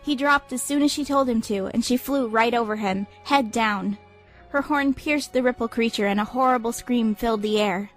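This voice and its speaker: A woman's voice: on the high side, normal speed, faint music behind. A woman reading scripted, dramatic audiobook narration.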